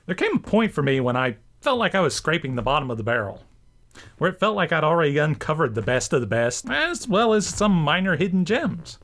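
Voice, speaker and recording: A man's voice: on the high side, sing-song, fairly quick, fairly bright tone. A man hosting a scripted video essay, narrating with enthusiasm and an emphatic, theatrical delivery. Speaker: host and writer.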